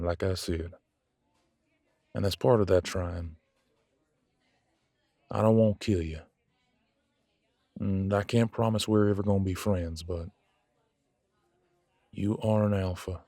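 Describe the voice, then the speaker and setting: Man playing a low-voiced, proud, grudging werewolf. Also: a little mellow, dry recording, studio quality, exaggerated voice. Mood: awkward, conciliatory. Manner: halting, blunt, grudging. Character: gruff proud male werewolf, reluctantly making peace with rival. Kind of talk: scripted audio roleplay drama.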